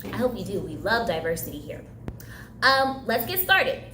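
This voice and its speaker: A woman's voice: medium pitch, big pitch swings, moderate pace, sharp tone, reverberant, slight background noise. A young woman whose first language is Haitian Creole, leading a casual, chatty craft tutorial for kids. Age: young adult. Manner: upbeat, chatty, laughs easily. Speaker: craft instructor. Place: indoor room, recreation center.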